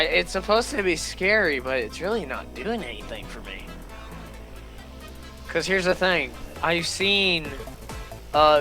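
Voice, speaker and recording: Male reaction host, informal chatter, bright forceful tone, lively pitch swings. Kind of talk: casual commentary.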